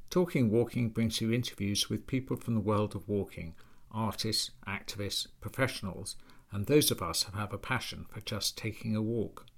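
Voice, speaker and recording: A man's voice: fairly low pitch, normal speed. Male podcast host and interviewer. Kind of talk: scripted narration and field interviewing.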